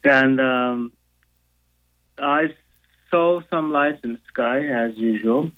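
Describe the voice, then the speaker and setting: A man's voice: medium pitch, phone-quality sound. A man in his thirties with a Turkish accent, a guest on a radio talk show, speaking hesitantly with many fillers. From Turkey. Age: 30s. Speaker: guest, economics professor. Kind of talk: casual interview.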